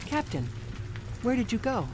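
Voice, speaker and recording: A woman playing a precise, literal-minded starship commander in an audio drama, formal and deadpan. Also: on the high side, moderate pace, noisy background. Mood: reproachful.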